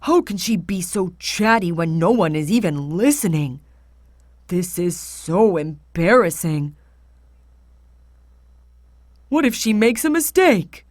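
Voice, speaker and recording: A woman voicing a scheming, excitable husband who talks to himself in a children's storybook. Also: lowish voice, measured pace, no echo, clean studio sound. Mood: embarrassed, worried. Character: worried, scheming husband who finds gold.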